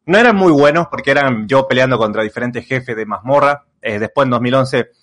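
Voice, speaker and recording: A man's voice: mid-range voice, brisk, a bit bright. A young man from Argentina with an Argentine accent, a content creator telling his story in a casual interview, rambling with many fillers and restarts. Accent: Argentine Spanish.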